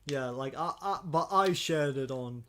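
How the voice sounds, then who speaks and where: A man's voice: on the high side, measured pace, bright, forceful tone, slight background noise. A man co-hosting a podcast in casual chat, often hesitating and repeating words as he goes. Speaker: podcast co-host.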